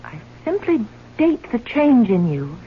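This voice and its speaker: An actress playing a devoted, easily wounded young fiancee in an old-time radio drama, speaking in an earnest, measured, melodramatic way. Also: female voice, fairly high pitch, very animated, husky, exaggerated voice. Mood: concerned, accusing. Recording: muffled old radio broadcast with hiss.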